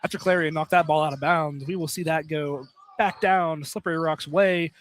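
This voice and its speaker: A man's voice: a little high, steady tone, moderate pace. A young man doing informal live play-by-play commentary of a college basketball game, bantering with his co-commentator. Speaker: play-by-play announcer. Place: college basketball field house, live broadcast.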